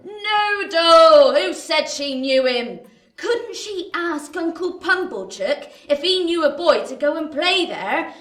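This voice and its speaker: A woman acting a bossy, scolding wife in a radio drama. Her voice is high and her manner sharp, sarcastic and nagging. It rings in a roomy space. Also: animated, slow, exaggerated voice. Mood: exasperated scolding. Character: shrewish, scolding, domineering working-class wife.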